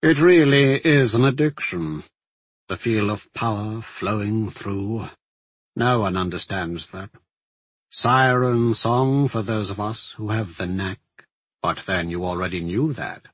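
A man voicing a smug, powerful magister in an audiobook, mockingly courteous, with a measured, sneering manner. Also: fairly low pitch, slightly rough, no echo, low-quality recording. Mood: smug, gloating.

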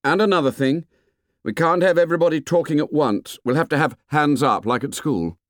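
A man's voice: on the high side, brisk, no room sound, studio quality, voice pushed up, close to an everyday voice. A man voicing an earnest, commanding schoolboy leader in an audiobook, speaking in short emphatic phrases. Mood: firm. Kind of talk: audiobook character voice.

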